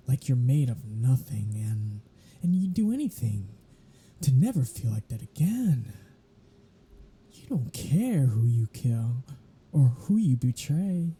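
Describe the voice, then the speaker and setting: A captive, starving vampire played by an ASMR performer speaks in a very husky, dark voice. The delivery is slow and intimate, with wry, flirtatious teasing that frays toward desperation. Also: medium pitch, heavily acted. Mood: desperate pleading. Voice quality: breathy, husky. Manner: wry teasing, halting, slipping into pleading. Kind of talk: intimate ASMR roleplay acting.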